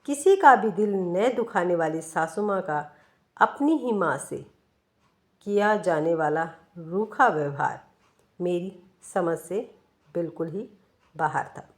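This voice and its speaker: A woman reading a scripted first-person story in a measured, expressive way, with pauses. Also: lowish voice. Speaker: storyteller, narrator.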